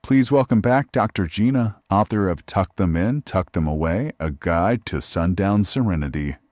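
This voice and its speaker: An actor playing a wry, deadpan, sardonic host of a late-night horror radio show. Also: male voice, sits low, normal speed, no echo, clean studio sound. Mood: wry, teasing. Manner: deadpan, sarcastic.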